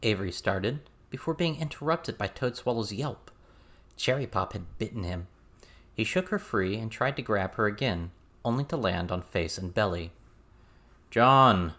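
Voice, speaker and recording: A man's voice: fairly low pitch, expressive, normal speed, fairly bright tone. A man reading a scripted story as an audiobook narrator.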